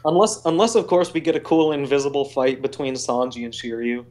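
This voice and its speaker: Casual man with a mid-pitched voice. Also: steady tone, a little fast, a little mellow. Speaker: voice chat participant. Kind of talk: casual fan debate.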